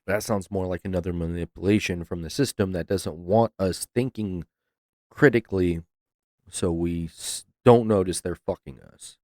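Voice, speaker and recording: A man's voice: deep voice, steady tone, moderate pace, dark tone, dry recording, clean studio sound. A man hosting a reaction video, talking casually with loose swearing and laughs. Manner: laid-back, swears freely, laughs.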